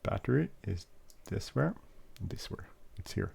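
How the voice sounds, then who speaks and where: A man's voice: fairly low pitch, animated, a little slow, gravelly, a little mellow, slightly rough, no echo. A man who is not a native English speaker, casually walking through a flight simulator, hesitant with many fillers and self-corrections. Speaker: flight sim video host. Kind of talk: casual gameplay walkthrough.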